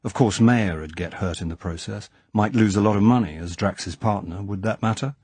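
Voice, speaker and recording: A man voicing a composed male agent who speaks quietly and evenly. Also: on the low side, breathy edge, dry recording. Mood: calm. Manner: quiet, measured.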